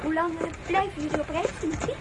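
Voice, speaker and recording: Verlegen verliefd dorpsmeisje, hoge stem. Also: female voice, very high-pitched, steady tone, fast, very noisy. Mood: smitten. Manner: hesitant, bashful. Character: smitten young village girl, shy and eager. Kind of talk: Dutch radio drama acting.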